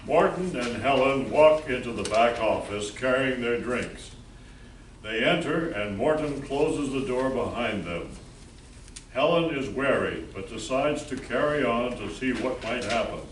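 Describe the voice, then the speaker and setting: A male narrator with a medium-pitched voice tells an amateur play's story slowly and deliberately. He is heard from a distance in a roomy space. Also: lively intonation. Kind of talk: scripted narration of amateur play. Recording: roomy, distant mic with background noise.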